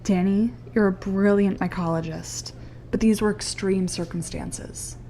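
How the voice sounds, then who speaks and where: A woman's voice: medium pitch, fairly even intonation, measured pace, dark tone, a little hiss or hum, lightly acted. A woman playing a brave, wry young grad student scientist in an audio drama, urgent in manner. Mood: weary, guarded.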